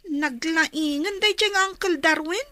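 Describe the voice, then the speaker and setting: A woman's voice: extremely high pitch, unhurried, sharp tone, dry recording, exaggerated voice. A woman acting in an Ilocano radio drama as a concerned young daughter from a troubled home. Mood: plaintive, resigned.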